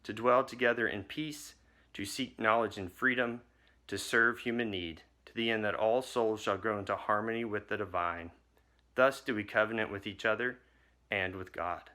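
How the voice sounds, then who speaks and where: A man lighting the chalice at a service and reading a poem in a measured way. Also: lowish voice, sharp tone.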